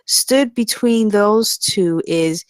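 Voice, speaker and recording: A woman's voice: medium pitch, extremely slow, clean tone, no echo. A woman narrating the stage directions of a comedy screenplay at a table read.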